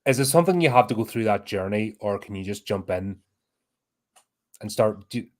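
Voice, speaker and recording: A man's voice: lowish voice, expressive, moderate pace. A man hosting a podcast, easygoing and hesitant, with fillers. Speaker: podcast host. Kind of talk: casual podcast chat.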